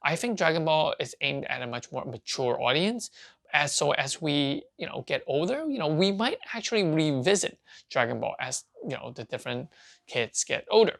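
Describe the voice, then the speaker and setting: Card-channel host, male, raised in Canada and Hong Kong: a halting, repetitive casual talker with a bit of fry. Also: on the high side, very animated, fairly bright tone. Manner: rambling, halting, many restarts and fillers. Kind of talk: casual talk to camera.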